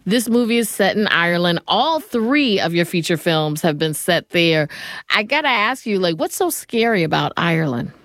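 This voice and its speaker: A woman's voice: fairly low pitch, big pitch swings, moderate pace, a bit bright, no echo, studio quality. A woman hosting a radio interview in a conversational broadcast style.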